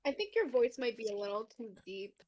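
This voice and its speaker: A woman's voice: on the high side, big pitch swings, brisk, darkish tone, no room sound. A young woman co-hosting a stream in casual chat, giggly and swearing casually. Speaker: stream co-host.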